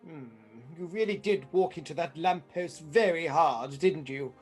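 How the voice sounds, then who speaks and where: A woman's voice: sits low, measured pace, darkish tone, husky, faint music behind. A woman playing a long-suffering detective's companion in a comic radio play, with a dry, understated delivery. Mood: reproving.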